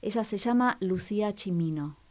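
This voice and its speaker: A woman with a medium-pitched voice presents a casual radio culture segment. She talks conversationally, pausing to find her words and repeating phrases for emphasis. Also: a little mellow. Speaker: co-host of a cultural radio show.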